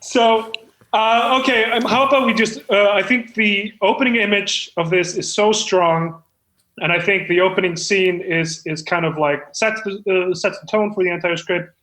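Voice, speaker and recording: A man's voice: sits high, fairly even intonation, sharp tone, echoey room, low-quality recording. A man playing an earnest, self-important amateur screenwriter, hesitant and rambling, in improvised comedy chat. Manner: hesitant, rambling, full of pauses.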